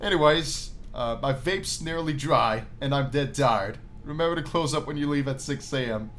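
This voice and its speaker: A man's voice: mid-range voice, normal speed, a bit bright, slight background noise, lightly acted. A man voicing a world-weary, blunt, slangy male nightclub boss in a visual novel game. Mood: weary, warning.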